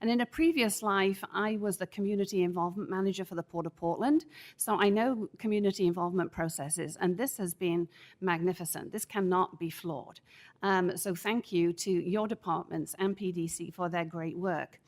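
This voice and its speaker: A middle-aged woman stands at a podium microphone in a council chamber and gives formal public testimony. Her big, projecting voice comes out measured and deliberate, with a firm, emphatic push on her key points. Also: on the low side, darkish tone. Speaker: public commenter at city council.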